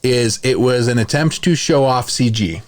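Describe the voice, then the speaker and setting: A man's voice: medium pitch, moderate pace. A man hosting a podcast, chatting casually about films in an enthusiastic, rambling way. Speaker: podcast host.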